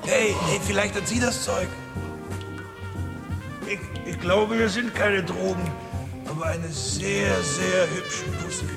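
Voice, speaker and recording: High-voiced quibbling crook. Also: male voice, animated, slow, creaky, long pauses, full character voice. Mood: crude sarcastic. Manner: argumentative, streetwise. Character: opinionated, crude gangster sidekick quibbling about slang. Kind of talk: radio drama acting. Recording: reverberant, loud music behind.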